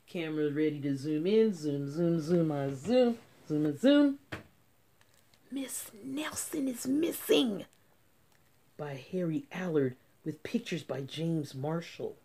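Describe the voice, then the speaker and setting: A woman reading a storybook aloud for children, dramatic and deliberate, with hushed drops and sudden emphasis. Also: lowish voice.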